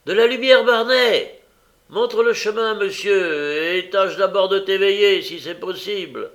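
A man voicing a flashy, bossy housebreaker with a big, gruff voice in a storybook reading. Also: medium pitch, very animated, unhurried, voice pushed up. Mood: impatient, mocking. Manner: loud, barking, sarcastic. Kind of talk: dramatised audiobook reading.

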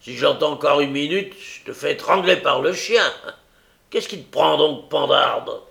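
A man voicing a brutal, bullying brigand in a big gruff voice, barking threats and oaths in a story reading. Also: mid-range voice, sing-song, moderate pace, fairly bright tone. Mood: furious.

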